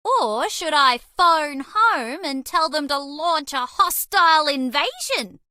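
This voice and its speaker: A woman's voice: high-pitched, big pitch swings, takes it slowly, bright, forceful tone, no room sound, clean studio sound, exaggerated voice. A woman voicing a bubbly, cheese-obsessed young alien rodent space traveler in a video game, with a perky, theatrical delivery. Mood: cheerful, playful. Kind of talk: animated game character voice lines.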